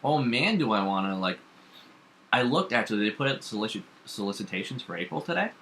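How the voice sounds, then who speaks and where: A man chatting casually about comic books, rambling and animated, with lots of fillers and restarts. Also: fairly low pitch, fairly quick, slight background noise. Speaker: comics podcast co-host. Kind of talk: casual chat.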